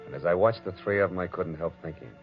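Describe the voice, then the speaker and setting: Male actor as tough American detective, low voice, cynical, wisecracking, old radio drama, muffled vintage sound. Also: quick pace, lightly acted. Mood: brooding, wry. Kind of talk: 1940s radio detective acting, hardboiled narration. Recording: dull vintage radio recording, music behind.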